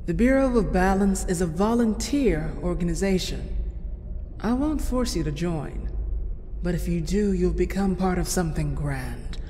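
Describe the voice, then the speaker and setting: An actor playing the formal, measured woman director of a secret organization in a fan comic dub. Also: medium pitch, animated, a little hiss or hum, close to an everyday voice. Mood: grave, formal. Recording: long reverb under loud music. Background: loud background music.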